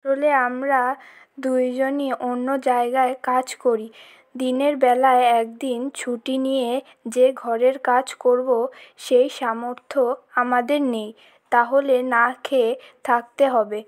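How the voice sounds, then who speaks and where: High-pitched, monotone, woman playing poor village youngster, humble, plain, cartoon drama. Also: measured pace. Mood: weary, matter-of-fact. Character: poor humble village child caring for a deaf father. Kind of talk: cartoon story dialogue.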